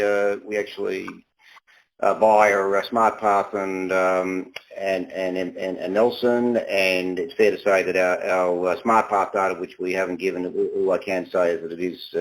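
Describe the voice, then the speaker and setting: A man's voice: sits low, low-quality recording. A man speaking as a company executive on an earnings call, answering in corporate phrasing with frequent halts and repeated words.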